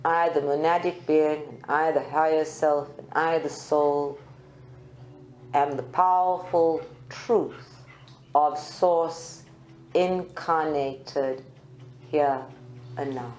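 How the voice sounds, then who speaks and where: A woman guides a spiritual meditation in a lowish voice. She speaks very slowly, placing short phrases deliberately and leaving long pauses between them. The live recording is echoey, with soft music faintly behind her. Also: steady tone, a little hiss or hum. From South Africa. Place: group meditation room at a centre.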